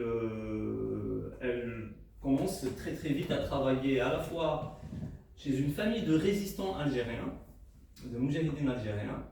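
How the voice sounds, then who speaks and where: A man with a warm, mellow voice, Arabic-speaking by birth, talks through his book without a script, pausing on fillers and restarting phrases. He is heard from a distance in a very reverberant hall, with faint noise behind him. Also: mid-range voice, vocal fry. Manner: hesitant, many fillers and restarts. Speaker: co-author presenting his book. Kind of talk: unscripted book talk to an audience. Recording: echoey room, mic at a distance, faint noise.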